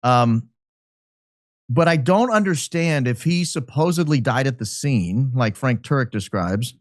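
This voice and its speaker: A man speaking as a podcast guest, giving speculative commentary in an emphatic, deliberate way. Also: mid-range voice, expressive, no echo, studio quality. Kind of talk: speculative commentary in a talk show.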